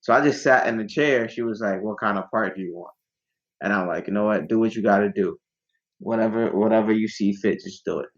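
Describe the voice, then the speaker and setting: A man's voice: lowish voice, a little fast, dull audio. A young man from Ghana, a musician guest on a podcast, talking in a laid-back, slangy, rambling way. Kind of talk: casual interview chat.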